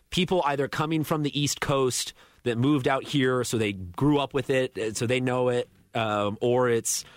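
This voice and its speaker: A man's voice: mid-range voice, no room sound, clean studio sound. A man hosting a casual sports radio show, joking and rambling with lots of fillers. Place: radio studio. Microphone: studio microphone.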